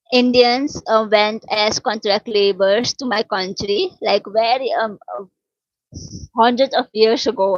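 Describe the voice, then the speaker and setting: A woman's voice: medium pitch, dry recording. Woman in her twenties, from Suriname, Dutch first language, casual talk, lots of ums, dry muffled sound. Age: 20s. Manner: unhurried, many fillers and restarts. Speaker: livestream host answering viewer questions. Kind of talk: casual chat.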